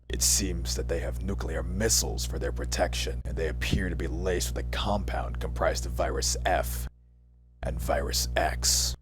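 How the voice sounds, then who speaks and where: Man acting deep-voiced grave scout, music behind. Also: natural voice. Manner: serious, measured. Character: grave, loyal male superhuman scout, long absent. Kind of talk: amateur audio drama acting.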